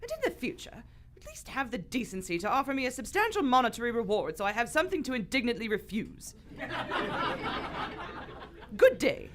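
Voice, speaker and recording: A woman's voice: on the high side, very animated, fairly quick, pauses often, slight background noise. A woman playing a witty, defiant aristocratic adventuress in her thirties, sardonic and theatrical, in live radio theater. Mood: indignant, haughty.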